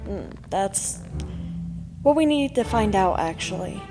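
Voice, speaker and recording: A woman's voice: mid-range voice, animated, measured pace, close to an everyday voice. An actress playing a practical adult woman adventurer in an audio drama, speaking matter-of-factly. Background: music bed.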